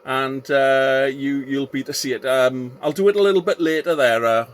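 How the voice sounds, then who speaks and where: A man's voice: medium pitch, fairly even intonation, normal speed, clean tone. A man chatting casually as a CB radio operator, hesitant and often repeating himself. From Wales. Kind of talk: casual radio contact chat.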